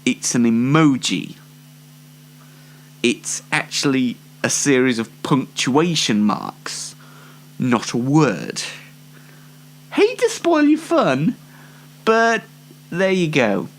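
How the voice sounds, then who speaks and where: British guy around 20 hosting his own little show, tongue in cheek, rambles slowly, pitch goes up and down loads. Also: big pitch swings. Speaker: host of a one-man online show. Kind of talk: informal solo show presenting.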